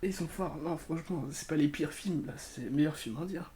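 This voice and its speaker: Excitable young man reacting with slang. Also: a little high, fairly quick. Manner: excitable, laughing, heavy street slang. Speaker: YouTuber. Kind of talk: reaction video commentary.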